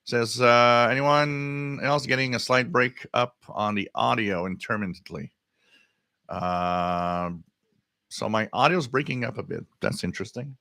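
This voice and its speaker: A man hosting a casual live stream, reading out comments and answering them in a halting, thinking-aloud way. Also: mid-range voice, pushed and bright, no room sound. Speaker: livestream host.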